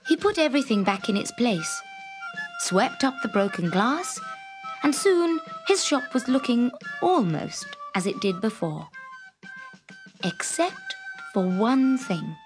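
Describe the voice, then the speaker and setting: High-pitched, animated woman storyteller. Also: slow, music in the background, low-quality recording. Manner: expressive, dramatic storytelling. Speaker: storyteller, audiobook reader. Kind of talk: scripted children's story narration.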